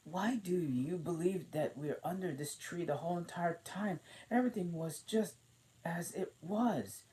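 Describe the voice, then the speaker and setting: A man voicing a polite, puzzled young girl in a storybook reading, speaking gently in a raised voice. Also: fairly high pitch, a little slow, slight background noise, voice pushed up, natural voice.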